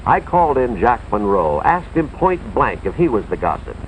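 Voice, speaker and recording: An American man playing an earnest, authoritative school official, narrating a cautionary tale in a deliberate, moralizing 1950s educational film style, with dramatic emphasis. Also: mid-range voice, sing-song, slight background noise, lightly acted. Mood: earnest, firm. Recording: tinny, band-limited 1950s film soundtrack, music behind.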